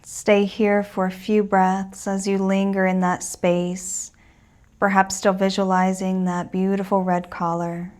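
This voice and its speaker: A woman leads a guided meditation at a very unhurried pace. Her delivery is gentle and soothing, with the reassuring manner of a teacher settling beginners in. Her pitch sits in an average female range. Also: level tone.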